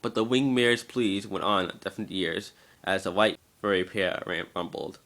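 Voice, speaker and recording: A man's voice: low voice, steady tone, moderate pace, background noise. A man giving an amateur scripted narration of a story, now and then stumbling over the words.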